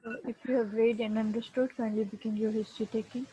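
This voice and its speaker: A woman acting as the examiner in a mock exam, giving brisk directions over a video call. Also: on the high side, little pitch movement, very noisy.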